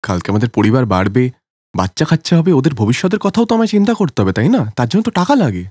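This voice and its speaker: A man voicing a busy, money-minded young husband in a cartoon story, brisk, dismissive and self-justifying. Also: medium pitch, big pitch swings, a little mellow, husky, dry recording, clean studio sound. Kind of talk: cartoon story character acting.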